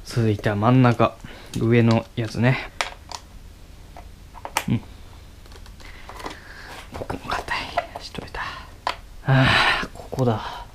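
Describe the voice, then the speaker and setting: A young man talking casually as he works on his motorcycle in a cluttered garage, filmed with a head-mounted camera. He talks haltingly with many fillers and mutters to himself mid-task. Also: mid-range voice, level tone, in a whisper. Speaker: motovlogger. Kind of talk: casual how-to commentary while working. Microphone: head-mounted action camera.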